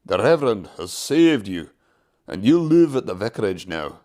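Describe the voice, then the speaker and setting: A man's voice: lowish voice, sing-song, moderate pace, a little mellow, voice pushed down. A man voicing a cheery, encouraging engine driver in a children's storybook, with a hearty manner. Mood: delighted. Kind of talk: children's storybook character reading.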